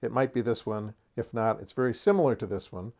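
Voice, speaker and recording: A man's voice: on the low side, brisk, darkish tone. Man casually presenting a product showcase. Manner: chatty, folksy, lightly humorous asides. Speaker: host of a tabletop wargaming terrain review.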